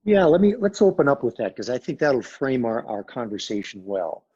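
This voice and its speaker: A man with a raspy voice, speaking as a sales consultant guest in a business interview, pragmatic and emphatic. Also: medium pitch, big pitch swings, normal speed, dark tone, muffled recording.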